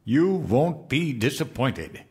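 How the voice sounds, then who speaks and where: Man acting a naive, eager, childlike president-elect, swooping pitch. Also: sing-song, takes it slowly, mellow. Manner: guileless, simple-minded, earnest talk. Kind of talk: comedic audio drama acting.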